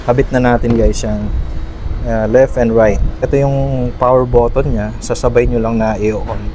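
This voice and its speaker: A man's voice: medium pitch, steady tone, normal speed, mellow. Filipino man demonstrating gear over background noise. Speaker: vlogger, product reviewer. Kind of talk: casual unboxing and demo talk to viewers.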